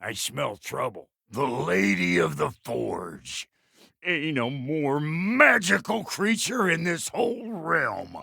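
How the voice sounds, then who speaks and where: A man voicing a gruff, impatient, foul-mouthed dwarf blacksmith in a video game, with a gravelly, raspy voice and folksy, irreverent talk. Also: fairly high pitch, big pitch swings, takes it slowly, bright, forceful tone, no room sound, clean studio sound, exaggerated voice. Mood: excited, cocky. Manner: folksy, irreverent, colloquial grammar. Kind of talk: video game character dialogue.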